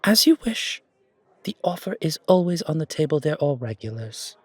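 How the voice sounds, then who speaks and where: A man playing an elegant, wry fantasy coffee shop owner in an audio roleplay, with deliberate, formal, theatrical phrasing. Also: a little high, very animated, no echo. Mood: warm, confiding.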